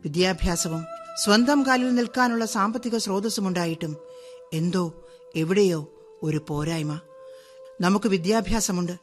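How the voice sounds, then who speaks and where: An actress in a radio drama monologue, playing a middle-aged Malayali wife and mother from Kerala who is chatty, wry and outspoken about women's rights, talking straight to the listener in a brisk, ironic way. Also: medium pitch, lightly acted. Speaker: monologue narrator.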